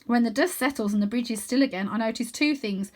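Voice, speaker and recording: A woman reading a children's book aloud as an expressive narrator. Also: fairly high pitch, fast. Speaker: storybook reader.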